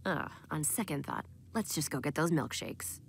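A woman's voice: low-pitched, animated, moderate pace, creaky, very noisy. A woman voicing a gloomy, sardonic girl turned talking book in a children's cartoon, with a dry delivery. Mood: deadpan.

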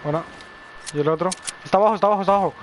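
A man's voice: high-pitched, very fast. A man from El Salvador streaming a shooter game live, chatting with his teammate and viewers and repeating a half-English catchphrase. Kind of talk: live gameplay chat. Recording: noisy game audio behind.

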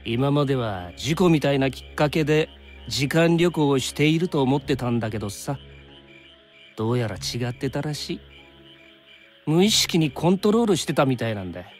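An actor playing an easygoing young time traveler in a Japanese anime game, chatting in casual slang with an undercurrent of worry. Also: male voice, mid-range voice, animated, moderate pace, faint music behind, natural voice. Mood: serious, subdued.

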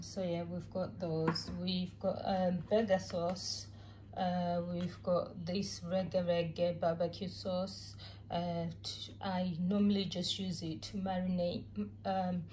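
A woman, a mother, chatting casually to camera about her groceries, unhurried and full of ums and uhs. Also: lowish voice, dark tone, a little hiss or hum. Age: adult mother. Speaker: vlogger showing a grocery haul. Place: home, at a table with groceries.

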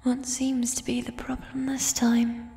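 A woman voicing a gentle, shy giant female troll in a soft, breathy ASMR whisper, with tender, formal phrasing. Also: fairly high pitch, completely flat delivery. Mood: tender, concerned. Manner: slow, formal old-fashioned phrasing, tender. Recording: long reverb, music behind. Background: fantasy ambience music.

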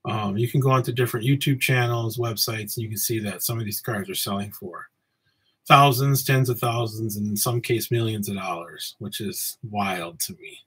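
Man, forties, from Samoa, husky voice, relaxed, rambling, podcast host, home office. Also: on the low side, moderate pace. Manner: relaxed, rambling, many ums and uhs. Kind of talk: casual solo podcast talk. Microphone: webcam framing, seated at desk.

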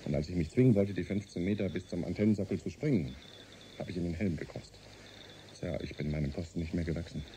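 An actor playing a ship's engineer and navigation officer in a German science-fiction radio drama, clipped and deferential. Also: male voice, deep voice, fast, mellow, slight background noise, close to an everyday voice. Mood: ashamed, shaken. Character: rattled male spaceship engineer and navigation officer.

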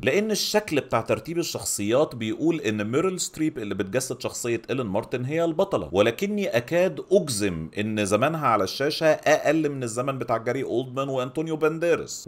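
A middle-aged man with an Egyptian accent giving an opinionated film review to camera, speaking close to a microphone in a small home studio. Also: medium pitch. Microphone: microphone on a boom arm, close up.

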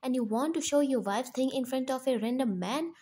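Bold sassy young wife, read by a woman; fiery, slightly high. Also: fairly quick, dark tone, lightly acted. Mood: coy.

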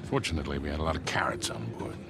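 An older actor voicing a gruff, worldly male smuggler in a video game, laid-back and chuckling. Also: extremely low pitch, animated, rapid-fire, background noise, exaggerated voice. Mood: wry. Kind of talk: video game cutscene acting.

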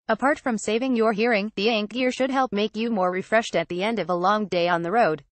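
A woman's voice: medium pitch, no room sound. A woman narrating scripted product news, reading a written article word for word. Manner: reads written article word for word, spelling out abbreviations.

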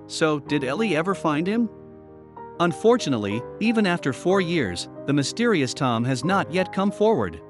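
Lively higher-pitched man narrating. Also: moderate pace. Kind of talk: scripted story narration. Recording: voice-over with music bed. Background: music.

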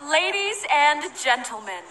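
A woman playing a brisk, formal competition official and announcer in a video game. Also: high voice, big pitch swings, sharp tone, a little hiss or hum, heavily acted. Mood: announcing.

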